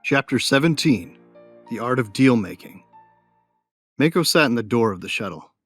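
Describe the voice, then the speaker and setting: A man playing a wry young armored fighter, narrating a sci-fi audio drama in a measured, understated way. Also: medium pitch, animated, faint music behind, natural voice. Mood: calm, wry.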